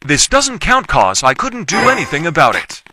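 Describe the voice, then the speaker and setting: Male gameplay commentator, animated intonation, short repetitive statements, noisy recording. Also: fairly high pitch, normal speed. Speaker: gaming video creator.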